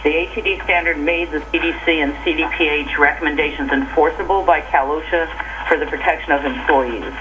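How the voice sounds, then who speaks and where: A woman's voice: deep voice, a bit bright, slight background noise, rough recording. An American woman giving a prepared briefing as a government safety official over a telephone conference line.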